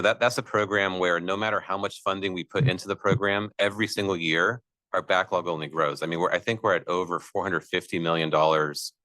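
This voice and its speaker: A man with a naturally loud voice, an agency official speaking at length at a public meeting, with frequent fillers and restarts. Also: sits low, no room sound. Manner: expansive, talks at length with many fillers. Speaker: air district official.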